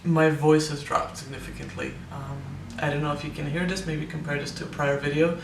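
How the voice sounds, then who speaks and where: A man's voice: fairly high pitch, little pitch movement, brisk, creaky, roomy sound, far from the mic, slight background noise, poor audio. A young man giving a casual, hesitant personal update, full of ums and restarts, his voice sometimes cracking with air breaking through. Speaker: vlogger.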